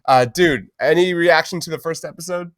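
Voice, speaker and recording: A man's voice: fairly high pitch, moderate pace, no room sound, studio quality. A man hosting a casual pop-culture podcast, talking informally and enthusiastically with plenty of slang. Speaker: podcast host.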